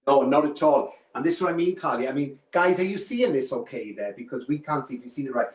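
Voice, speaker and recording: A man's voice: medium pitch, expressive, quick pace, echoey room. A man with a Welsh accent co-hosting a casual live wig demo over a video call, chatty and friendly, calling viewers "my love". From Wales.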